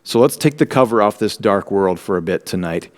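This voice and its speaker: A man hosting a church talk program, giving a measured, earnest scripted introduction. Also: lowish voice, animated. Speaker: host.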